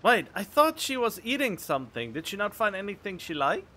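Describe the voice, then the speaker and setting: A man giving casual let's play commentary as a game streamer, halting and repeating words as he thinks aloud. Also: high voice, sing-song, sharp tone, slight background noise.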